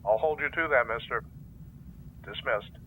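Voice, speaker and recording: A man playing a stern middle-aged fleet commodore and father, gruff and commanding, speaking over faint noise. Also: medium pitch, measured pace, bright, forceful tone, low-quality recording. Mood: softening.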